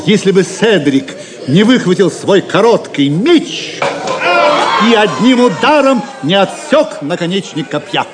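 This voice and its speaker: A man narrates an audio drama in a dramatic scripted style. His voice is a bit high and very expressive in pitch, with a clear crowd sound behind. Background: crowd of spectators.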